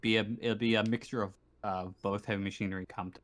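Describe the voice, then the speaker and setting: A man's voice: on the low side, little pitch movement, quick pace, fairly bright tone. A man improvising as game master in a tabletop roleplaying session.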